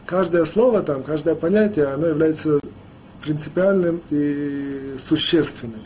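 A man teaching a Torah lesson as a rabbi, talking haltingly with many restarts and fillers. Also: on the high side, mellow, a little hiss or hum. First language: Russian, rarely used in daily life. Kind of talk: spoken Torah lecture.

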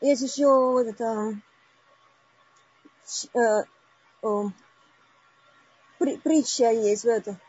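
A woman in her forties calling in to a live online session, telling a personal story haltingly with many broken-off words. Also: fairly high pitch, darkish tone, breathy edge, lo-fi sound. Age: 40s. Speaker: caller.

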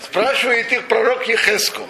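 High-voiced man teaching a lesson. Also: moderate pace, vocal fry, rough recording. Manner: animated storyteller asking rhetorical questions; frequent restarts and self-corrections. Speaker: religious teacher. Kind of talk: Torah lesson retelling a midrash. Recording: steady background noise.

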